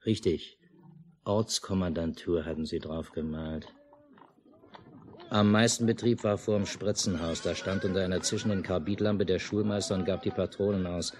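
A man's voice: low voice, fairly even intonation, moderate pace, a little mellow, natural voice. An actor playing a stubborn, unruffled village family man and narrator in a 1950s German radio drama. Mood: calm, narrating. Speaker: radio play lead and narrator. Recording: noisy mono 1950s radio recording.

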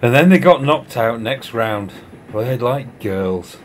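An actor playing a contrary, grumbling football supporter in a radio play, blunt and argumentative. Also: male voice, medium pitch, expressive, slow pace, slight background noise.

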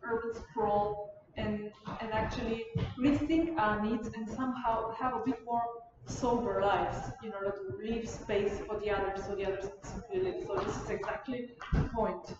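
A young woman from Bulgaria giving an informal lecture in a halting, rephrasing, digressive way. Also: mid-range voice, fairly even intonation. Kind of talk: informal lecture and discussion of questions. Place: university lecture room. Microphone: far camera. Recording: distant mic, muffled, echoey room, loud noise.

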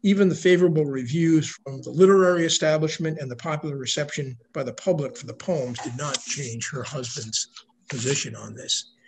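An older man giving a prepared slide lecture on history over a video call, reading in a measured way. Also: fairly high pitch, gravelly, a crowd in the background, rough recording. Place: indoor room at home, video call. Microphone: video call microphone.

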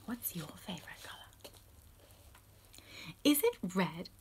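A woman in her forties reading a picture book aloud to young children, putting simple questions to them in an animated way. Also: fairly low pitch, takes it slowly, a little hiss or hum. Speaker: children's storytime host and reader. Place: small room at home, indoors.

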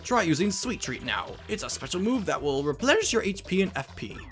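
A man's voice: sits high, big pitch swings, fairly quick, fairly bright tone, heavily acted. A man voicing an earnest old professor creature from a video game, teaching battle moves in an instructive, courteous way. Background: game music.

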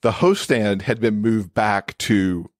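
A man's voice: fairly low pitch, takes it slowly, no room sound, clean studio sound. A man being interviewed as an expert guest, explaining things conversationally with everyday examples. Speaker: podcast guest, accessibility expert and author.

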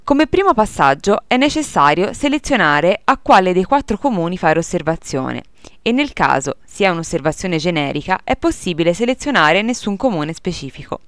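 A woman's voice: lowish voice, big pitch swings, normal speed, fairly bright tone, no room sound. A woman reading a scripted instructional tutorial as narrator in a formal, procedural manner.

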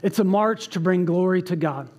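A man in his thirties delivers a sermon from a church stage in a fairly high voice. He speaks earnestly, reading a passage aloud and breaking off for casual asides. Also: moderate pace, a little mellow. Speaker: pastor. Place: church stage with band behind.

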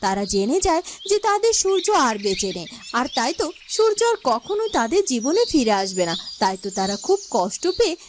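A woman's voice: fairly high pitch, sing-song, a little fast, noisy background. A woman narrating a fairy-tale cartoon as a storyteller, reading a script with dramatic delivery.

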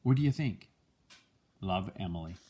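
An older man with a husky voice reads a children's picture book aloud in a gentle, measured storytelling manner. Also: low voice, lively intonation. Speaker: storybook reader, a grandfather.

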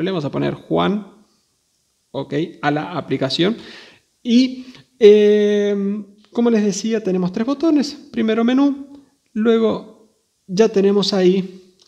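A man's voice: high voice, creaky, warm tone, muffled recording. A man presenting a casual hands-on tech demonstration, talking informally and directly to viewers. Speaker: video host demonstrating radio gear.

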